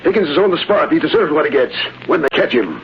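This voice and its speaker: An actor playing an indignant, pompous government commissioner in a blustering vintage radio drama style. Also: male voice, a little high, sing-song, fast talker, vocal fry. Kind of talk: 1930s-40s radio drama acting. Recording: muffled, hissy vintage radio broadcast.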